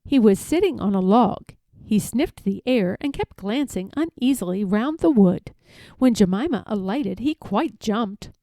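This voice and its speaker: A woman reads a children's story aloud with very lively, swooping intonation. Her voice is fairly high yet mellow, and the recording is close and dry. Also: a little slow, no echo.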